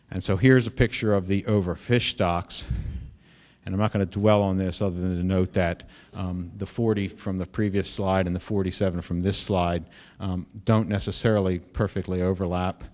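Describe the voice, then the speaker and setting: A middle-aged man giving a conference keynote, explaining with frequent fillers and restarts, growing louder on key points. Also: low voice. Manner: halting, many fillers and restarts. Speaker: keynote speaker. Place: lecture hall. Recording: reverberant lecture hall.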